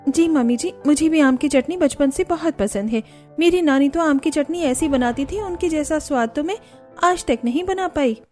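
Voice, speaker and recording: A woman's voice: high voice, moderate pace, a little mellow, faint music behind, voice pushed up, lightly acted. A woman voicing an overworked young daughter-in-law in a Hindi family cartoon. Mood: polite, modest. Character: young daughter-in-law, overworked, grumbling about kitchen chores.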